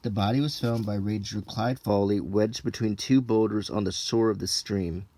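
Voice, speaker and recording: A man reading an old printed text aloud in an ASMR style, unhurried and low-key, with casual offhand asides. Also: fairly even intonation, dark tone, no echo.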